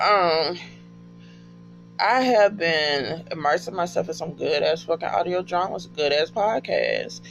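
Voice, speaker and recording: An American man chatting casually in a rambling, slangy, profane and excitable way, like a fan telling friends a story. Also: high voice, creaky. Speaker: podcast fan reviewing favourite shows. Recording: dull lo-fi audio with music behind.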